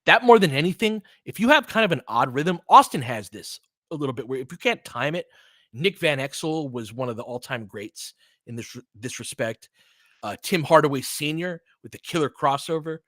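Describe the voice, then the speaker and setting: A man's voice: a little high, expressive, moderate pace, fairly bright tone, dry recording, studio quality. A man with an American accent hosting a casual basketball commentary, analytical and thinking aloud in sentences that trail off and restart. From California, United States. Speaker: host and play-by-play commentator. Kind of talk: casual basketball chat and live commentary, answering listeners.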